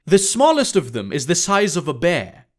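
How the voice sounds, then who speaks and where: Brash audiobook sex worker, female male reader, higher-pitched, curt, irritated and dismissive. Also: huge pitch swings, normal speed. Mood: brusque. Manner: blunt, dismissive. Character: brash, irritated adult woman sex worker, blunt and scornful. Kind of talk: audiobook character dialogue.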